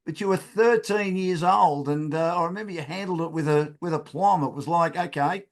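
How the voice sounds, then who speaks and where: Man interviewing, slightly high voice. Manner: measured, conversational, with some uhs. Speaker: interviewer, sports broadcaster. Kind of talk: interview questions.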